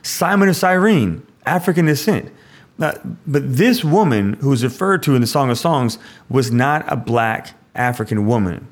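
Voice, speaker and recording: A priest hosting a show and explaining a scripture passage in a conversational way. He often restarts his sentences and adds "like" asides. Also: medium pitch.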